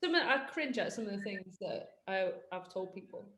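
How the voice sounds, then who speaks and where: A woman's voice: medium pitch, expressive, moderate pace, fairly bright tone. A British woman hosting a casual fitness podcast, chatty and joking in a self-mocking way. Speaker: podcast host, personal trainer. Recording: roomy echo.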